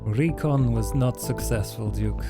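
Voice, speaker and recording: A man playing a blunt, literal-minded vampire fighter in a tabletop roleplay, with terse, deadpan delivery. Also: medium pitch, a little slow, over music, natural voice. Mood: deadpan, indignant.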